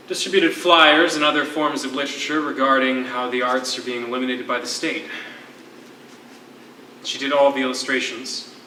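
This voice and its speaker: Stage role: wistful poet and ex-teacher in captivity played by a man, very bright forceful voice, eloquent phrasing, echoey distant recording. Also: mid-range voice, slight background noise. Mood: earnest, proud. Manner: eloquent, theatrical, measured storytelling. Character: lyrical, wistful former poetry teacher, a political prisoner. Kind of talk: live one-act stage play.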